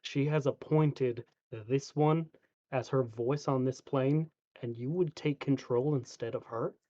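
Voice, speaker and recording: A man voicing a bold, formal adventurer in a tabletop game, measured and defiant. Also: medium pitch, dark tone, dry recording, dull audio, natural voice. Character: bold, formal male adventurer challenging cultists.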